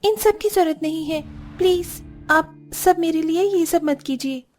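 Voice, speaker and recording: A woman's voice: very high voice, a little slow, a little mellow. A woman voicing a spirited young woman in a cartoon story. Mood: polite, declining. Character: spirited young woman back from abroad, admired by neighbourhood boys. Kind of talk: Hindi cartoon voice acting.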